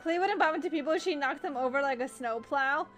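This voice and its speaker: A woman's voice: high-pitched, brisk, a bit bright, soft background music. A woman giving casual, playful live commentary while streaming a game, with a giggly manner.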